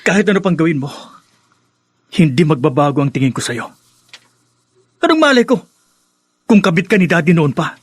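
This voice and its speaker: A man's voice: on the high side, sing-song, moderate pace, long pauses, breathy edge, dry recording. A man playing a defiant grown son in a radio drama, bitter, sarcastic and confrontational. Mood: accusing, sarcastic. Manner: sarcastic, confrontational, switches into English. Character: bitter, defiant grown son rejecting his new stepmother.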